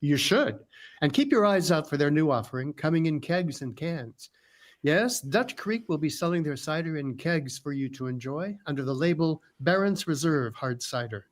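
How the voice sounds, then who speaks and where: A man working as a show announcer, delivering a scripted radio sponsor message in a polished, promotional manner. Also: on the high side.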